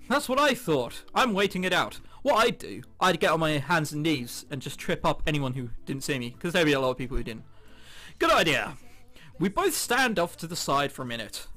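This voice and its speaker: A man with a bright, fairly high voice reads a visual novel aloud for a gaming video. His delivery swings around in pitch, slipping between narration and jokey casual asides. Soft game music plays behind him. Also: big pitch swings, a little fast. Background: game background music.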